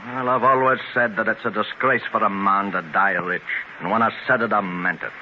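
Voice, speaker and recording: An actor playing a determined, idealistic older Scottish industrialist in 1930s radio drama style, speaking with plain, emphatic conviction. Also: male voice, on the low side, fairly even intonation, brisk, close to an everyday voice. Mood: reflective, resolute. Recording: muffled, noisy 1930s radio transcription.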